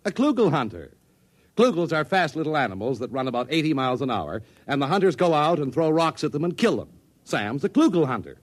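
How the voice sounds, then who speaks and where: Glib fussy team owner, very lively intonation, dry close sound, genteel, old-time radio drama. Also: male voice, fairly high pitch, fairly quick, no echo. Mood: smug explaining. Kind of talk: 1950s radio drama acting.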